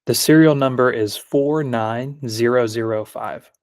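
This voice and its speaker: A man demonstrates software live over a video call. His voice is medium in pitch, and he speaks in short, plain requests. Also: unhurried. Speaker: product demonstrator.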